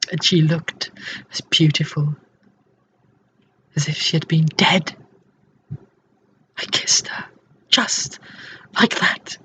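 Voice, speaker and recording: A man playing a dying, lovesick, tormented man in a dramatic monologue, halting and breathy, often sinking toward a whisper. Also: fairly high pitch, fairly bright tone. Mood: anguished, tender. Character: dying, lovesick tormented man confessing his tender, morbid obsession. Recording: dull, slightly noisy home recording.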